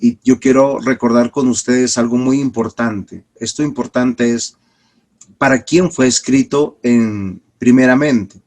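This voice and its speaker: A man's voice: medium pitch, steady tone, a little mellow. Man teaching a class while under a cold. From Peru. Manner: deliberate, with restarts and drawn-out words. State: slight cold with a cough. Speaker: pastor teaching a seminary class. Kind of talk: online Bible lecture.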